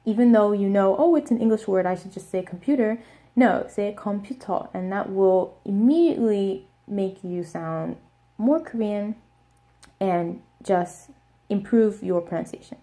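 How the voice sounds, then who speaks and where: Mellow-voiced American woman teaching. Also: medium pitch. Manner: explains step by step, repeats words slowly. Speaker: language teacher. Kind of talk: casual video lesson.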